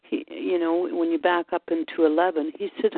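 A woman hosting an informal Bible study chat, unhurried and thinking aloud with frequent pauses and ums. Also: on the low side, flat delivery, no room sound. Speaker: host. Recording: dry, tinny phone-like line.

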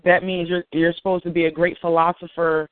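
A woman's voice: on the low side, level tone, fairly quick. A woman who is a spiritual reader, a guest on a talk show, explaining things in a confident, lecturing way. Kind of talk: casual chat, explaining. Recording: tinny phone line, dry.

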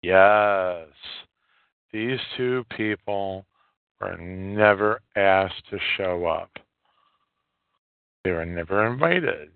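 A man hosting a solo podcast, giving opinionated commentary in halting, emphatic phrases with a lot of repetition. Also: low voice, smooth, dry recording. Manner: coarse, swearing. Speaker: podcast host. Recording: narrow phone-like line, dry.

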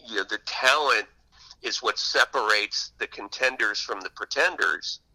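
A man's voice: on the low side, normal speed, sharp tone, rough recording. A man being interviewed as an author, telling anecdotes in a conversational radio style.

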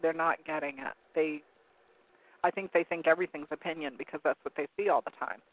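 A hoarse man losing his voice, hosting a call-in talk show in a rambling, casual way while reading from web pages. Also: a little high, steady tone, brisk. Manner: rambling, searching for words with many ums. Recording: narrow phone-like line, dry.

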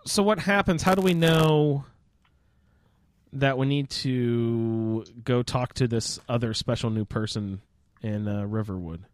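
A man's voice: medium pitch, measured pace, no room sound. Man hosting, dry close recording. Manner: steers the group with questions. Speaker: podcast host moderating a roundtable. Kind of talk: casual group chat about a video game.

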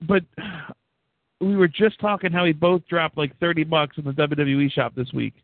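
A man's voice: on the high side, steady tone, moderate pace, no room sound. A man co-hosting a wrestling radio show, chatting casually and agreeably and chiming in with short replies. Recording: tinny phone-like sound, dry.

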